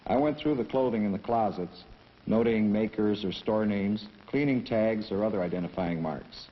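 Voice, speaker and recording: A man's voice: fairly low pitch, moderate pace, close to an everyday voice. An actor playing a sober, precise male police officer, reciting scripted testimony in a 1960s training film. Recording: muffled 1960s film soundtrack, hiss.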